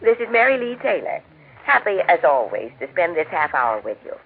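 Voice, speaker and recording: Lively woman radio host, tinny vintage sound. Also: lowish voice, fairly quick, a little hiss or hum. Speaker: radio host and story narrator. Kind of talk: 1940s scripted radio narration. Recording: tinny, muffled 1940s radio recording.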